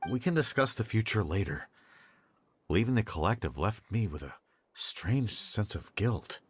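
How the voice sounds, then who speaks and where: A man voicing a regretful former cult member in a game, measured and sober. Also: fairly low pitch, big pitch swings, slight background noise.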